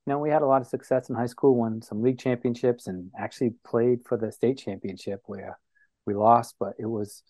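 A man from New Bedford, Massachusetts, a guest on an interview show, recounting his past reflectively with many pauses and fillers. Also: medium pitch, steady tone, warm tone, dry recording.

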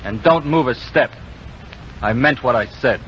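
A man's voice: mid-range voice, a little slow, fairly bright tone, natural voice. An American man acting a bold, unflinching Old West lawman in an old-time radio western, firm and taunting. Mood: defiant. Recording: muffled, hissy vintage radio recording.

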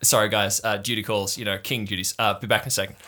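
Slacker camp boy, voiced by a man, tabletop game: easygoing, vague, self-styled king, bright edge to the voice. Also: fairly low pitch, little pitch movement, fast talker. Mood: breezy. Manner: drawling, slangy, vague, full of likes and whatevers.

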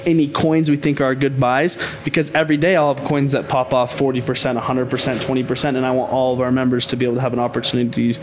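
A man speaking as a guest on a podcast, explaining his crypto consulting business in a confident, pitching way, often saying "I think". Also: flat delivery, fast, hardly any pauses, noisy background. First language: Haitian Creole speaker. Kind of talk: casual podcast interview.

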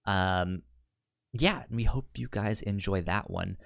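Lower-voiced man with a stutter. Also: unhurried, gravelly, no room sound, studio quality. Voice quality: frequent stutter, repeating sounds on words. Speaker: podcast co-host. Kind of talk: casual unscripted podcast chat.

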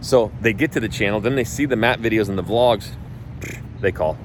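A man with a low voice talks bluntly outdoors, and he swears often and hits his points hard. Faint traffic noise from the street runs under his speech. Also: a little fast. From North Idaho. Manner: blunt, profane and emphatic. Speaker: real estate agent and coach. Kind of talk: casual talk.

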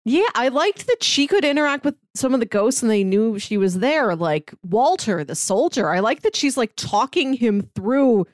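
A woman co-hosts a podcast in casual conversation. Her voice is fairly high and moves up and down in an animated way. The sound is close and dry. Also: moderate pace, few pauses, no echo. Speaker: podcast co-host. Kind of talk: casual chat.

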